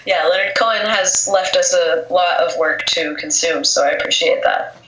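A young woman with a cold talks about her writing in loose, easygoing chat, peppering her sentences with "like". Her voice is bright but sits a little low. The room is echoey, with a faint hiss behind her. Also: moderate pace. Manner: casual, lots of filler "like". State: has a cold, losing her voice. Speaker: poet, interview guest. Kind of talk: casual interview chat.

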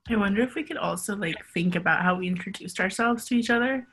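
A woman's voice: medium pitch, fast talker, fairly bright tone, breathy edge. A woman born in Egypt and raised in San Diego, a doctoral student chatting casually over a video call with many fillers. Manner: chatty, lots of "like" and "um". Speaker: PhD student. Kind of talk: casual group chat.

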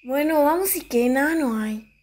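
A woman playing a skeptical, bossy young university student in an audio drama, with a brisk, chiding manner and a Paraguayan accent. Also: sits high, expressive, darkish tone. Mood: practical.

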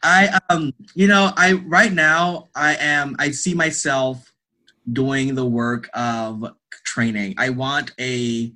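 Bright-voiced man, emphatic and earnest. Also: mid-range voice, fairly even intonation, slow pace. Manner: emphatic, earnest, repeats phrases for stress. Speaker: guest, theatre director and artist. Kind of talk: conversational interview answers.

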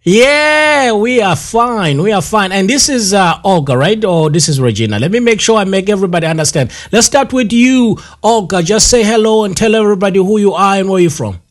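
A man's voice: high-pitched, animated, a little fast, few pauses. A man hosting a live radio interview, rambling with many fillers and repeating himself. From South Africa. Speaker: radio show host.

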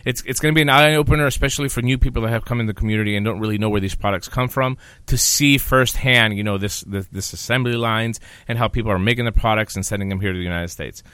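Rambling male podcast host of Greek origin, bright tone, dry close sound. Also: lowish voice, fast talker, few pauses, clean tone, no echo. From Greece. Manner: rambling, lots of fillers and repeats. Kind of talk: casual podcast chat.

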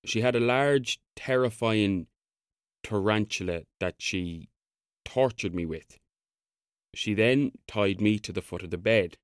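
An actor playing a blunt, needling Irish police officer in an audio drama. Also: male voice, fairly low pitch, takes it slowly, smooth, dry recording, studio quality. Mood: deliberate reading aloud.

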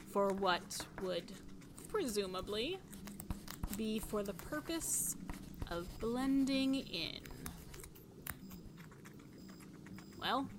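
Woman as curious female researcher, wry, slow delivery, musing, audio drama, clear background noise. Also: medium pitch. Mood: studious, wry. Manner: musing, halting, talks to herself. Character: curious woman field scientist, wry, alone with lab rat.

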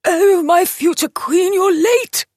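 A woman's voice: very high voice, slow, darkish tone, dry recording, clean studio sound, voice pushed up. Woman playing a fussy old guardian. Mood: flustered. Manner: fretful, pompous. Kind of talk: audiobook character voice.

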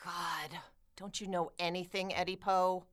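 A woman's voice: on the low side, little pitch movement, a little slow, lightly acted. A woman playing a brash, scornful kid in a comic radio play, blunt and mocking.

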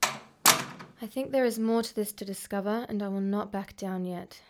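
A woman's voice: medium pitch, fairly even intonation, measured pace, over loud music, lightly acted. A woman with an Australian accent playing a concerned, polite but insistent school counsellor in a radio drama.

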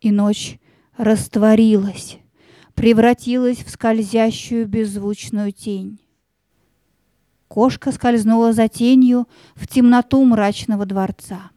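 Soft-toned, high-voiced woman storyteller. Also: takes it slowly, dry recording. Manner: expressive, theatrical storytelling. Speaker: narrator. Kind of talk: scripted fairy-tale narration for an audio play.

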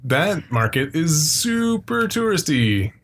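A man's voice: on the high side, big pitch swings, slow, fairly bright tone, slight background noise. A middle-aged American man from Phoenix talking casually and unscripted as a podcast co-host, in a rambling, sweary way that piles up repeated phrases. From Phoenix, Arizona.

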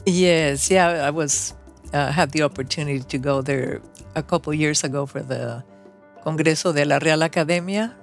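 An interviewer asking warm, appreciative questions in easy conversation. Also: mid-range voice, normal speed, vocal fry, faint music behind.

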